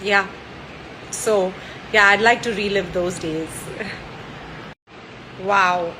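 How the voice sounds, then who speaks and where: A woman's voice: medium pitch, fairly even intonation, extremely slow, background noise. A woman in her thirties from India, chatting cheerfully with frequent fillers and restarts while she answers questions. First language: Gujarati or French, not Hindi. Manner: warm and cheerful. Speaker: actress answering fan questions. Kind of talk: casual chat.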